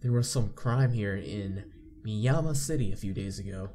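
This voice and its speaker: A man's voice: lowish voice, normal speed, warm tone, slight background noise. A man hosting a visual novel playthrough, reading the on-screen story aloud and commenting casually. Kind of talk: casual game narration, reading text aloud. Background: game background music.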